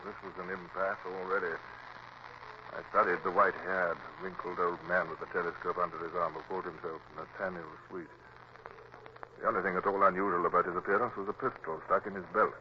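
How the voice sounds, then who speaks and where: Actor as stern British naval commodore, dull old recording. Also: male voice, low voice, little pitch movement, a little fast, slight background noise, close to an everyday voice. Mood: reflective. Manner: formal, clipped, authoritative. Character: stern, commanding British naval commodore, narrating his own tale. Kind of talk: radio drama acting.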